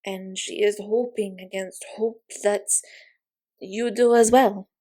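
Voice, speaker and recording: A woman's voice: medium pitch, steady tone, takes it slowly, dark tone, poor audio. A woman voicing a mysterious carnival fortune teller in a roleplay audio, theatrical and grandly insistent, with formal, portentous phrasing. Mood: portentous, mystical.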